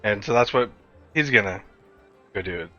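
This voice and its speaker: Bright-voiced man bantering over a game. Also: on the low side, animated, moderate pace, highs cut off, soft background music. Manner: crude joking, swears freely. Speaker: tabletop game player. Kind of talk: casual banter during an online tabletop game.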